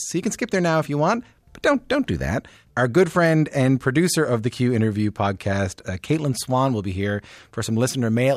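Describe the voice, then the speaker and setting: Casting: male radio interviewer, animated and informal, voice a touch croaky and worn, recorded dry. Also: mid-range voice, no room sound. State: slightly croaky, losing voice. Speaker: podcast and radio show host. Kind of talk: conversational scripted introduction and interviewing.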